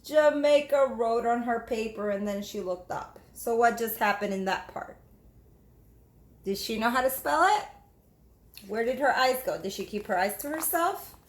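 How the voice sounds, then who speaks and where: A woman with a high voice reads a children's storybook aloud like a teacher. She is expressive and instructive, addressing young listeners directly. The recording has a noticeable room echo. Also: moderate pace. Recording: echoey room.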